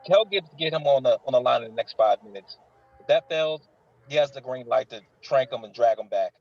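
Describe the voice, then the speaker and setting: A man's voice: medium pitch, lively intonation, a little fast, gravelly, a little mellow, lo-fi sound. A man acting a tense, foul-mouthed military captain who talks in curt demands at a table read. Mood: exasperated, commanding.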